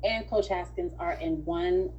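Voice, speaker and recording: A woman's voice: on the low side, unhurried, a little mellow, muffled recording, slight background noise. A woman acting as casting director, explaining things in an organised way on an online audition call.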